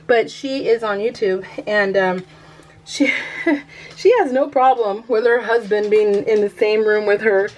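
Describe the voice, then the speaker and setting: A woman's voice: mid-range voice, fairly even intonation, measured pace, poor audio. Chatty, rambling American woman vlogger. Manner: rambling, self-deprecating, giggly, often trailing off mid-thought. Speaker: craft vlogger. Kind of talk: casual chat to viewers while showing a craft project.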